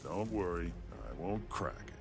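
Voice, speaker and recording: A man playing a huge, easygoing, gruff demon brawler in a fighting game, unhurried and teasing. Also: sits low, animated. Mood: dry, teasing. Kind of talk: fighting game character dialogue. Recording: game clip with loud music behind.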